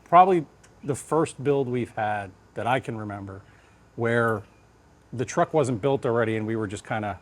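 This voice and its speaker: A man being interviewed as a fire lieutenant, talking conversationally and deliberately in short, thought-out phrases. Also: medium pitch.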